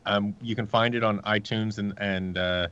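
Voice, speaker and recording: A man's voice: on the low side, steady tone, normal speed, a bit bright, clean tone, muffled recording. A man speaking casually as a producer being interviewed on a podcast. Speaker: interview guest, audio drama producer.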